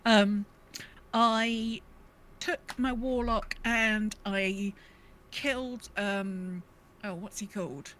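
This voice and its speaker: A woman's voice: mid-range voice, fairly even intonation, drawn-out words, fairly bright tone. A woman co-hosting a podcast, chatting casually about gaming in a rambling, self-correcting way. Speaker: podcast co-host.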